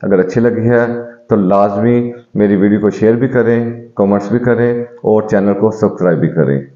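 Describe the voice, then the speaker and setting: A man who is a homeopathic doctor, calmly explaining home eye remedies to his viewers and addressing them as friends. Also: on the low side, normal speed. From Pakistan. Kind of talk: health advice video to viewers. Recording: dull, echoey room recording.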